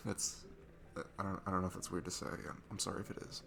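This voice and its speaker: A man playing a shy, insecure, sweet guy at a bar in an intimate audio roleplay, talking in a nervous, halting, apologetic ramble. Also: sits low, steady tone, creaky, sharp tone, slight background noise, heavily acted. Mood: shy, open. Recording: music behind the voice. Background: music.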